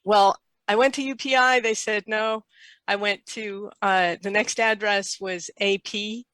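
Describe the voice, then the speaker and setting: An older woman, a guest, telling a lively personal anecdote and quoting herself along the way. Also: medium pitch, a little slow, no echo. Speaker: interview guest. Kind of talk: casual storytelling.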